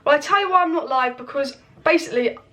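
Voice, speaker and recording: A young woman hosting a casual football transfer news update from home, hoarse as she loses her voice, chatty and opinionated, recorded on a camera mic. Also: high voice, fast, sharp tone. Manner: chatty, opinionated, speaks straight to viewers. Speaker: football channel host. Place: home with kitchen clatter nearby.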